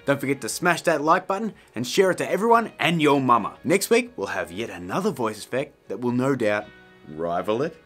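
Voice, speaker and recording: A man's voice: mid-range voice, sing-song, a little fast, a bit bright, soft background music. A man in his thirties hosting a casual scripted tutorial in a jokey, emphatic, theatrical way. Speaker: tutorial host.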